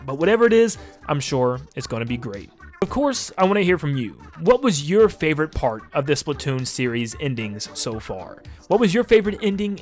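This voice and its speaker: A man's voice: fairly high pitch, a little mellow. A man hosting a video game channel, narrating a video essay in an upbeat, gushing and casual way.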